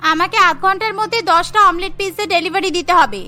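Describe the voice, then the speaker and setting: Woman playing a very high, bright petulant girl. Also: fast talker, faint music behind. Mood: demanding. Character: spiteful, demanding young female college student. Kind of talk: cartoon dialogue acting.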